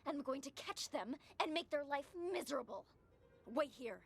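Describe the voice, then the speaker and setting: A woman's voice: sits high, expressive, normal speed. A woman playing an anxious, insistent young stage actress in an audio drama. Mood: angry, determined. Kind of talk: interactive radio drama acting.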